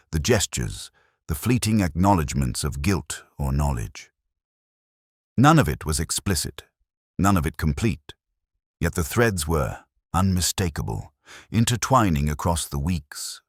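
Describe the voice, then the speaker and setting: Very deep-voiced brooding detective narrator, acted by a man. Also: no echo, clean studio sound, full character voice. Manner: measured, literary, contemplative. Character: brooding, observant, intellectual male police detective. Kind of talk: scripted first-person detective story narration.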